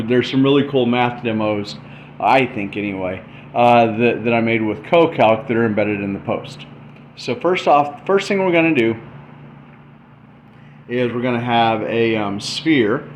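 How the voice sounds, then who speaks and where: Man, casual tutorial walkthrough, medium pitch, thinking out loud, dull mic, light background noise. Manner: informal, thinking aloud. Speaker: explainer presenting a math and radio demo.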